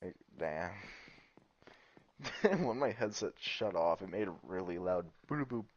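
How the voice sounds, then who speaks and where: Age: adult. Sex: man. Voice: deep, growly, monotone. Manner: rambling, sweary, laughs often. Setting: casual gaming-stream chat. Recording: muffled lo-fi headset audio. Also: unhurried, a little hiss or hum. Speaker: streamer's friend.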